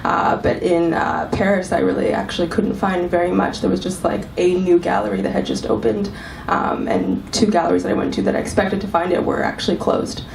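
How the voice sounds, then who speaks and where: A woman's voice: on the low side, fairly quick, vocal fry, darkish tone. Woman with a cold, echoey far mic. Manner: thoughtful, many ums and restarts. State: has a cold. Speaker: panelist, arts executive director. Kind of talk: panel talk to a live audience. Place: club event room. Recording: echoey room, mic from far off, faint hiss.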